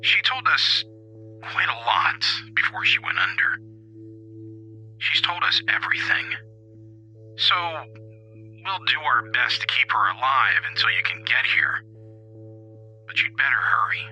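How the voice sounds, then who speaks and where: Man acting curt, sharp-voiced hospital staffer. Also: mid-range voice, sing-song, measured pace, pauses often, faint music behind, full character voice, low-quality recording. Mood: urgent, harsh. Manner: curt, harsh-edged. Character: stern, impatient hospital staff man delivering bad news. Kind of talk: audio drama acting.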